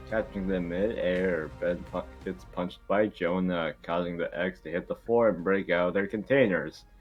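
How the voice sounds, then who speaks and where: Low-voiced man, muffled, reading over music. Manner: irreverent, swearing ad-libs. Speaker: stage-direction reader at an amateur table read. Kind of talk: casual, joking script narration.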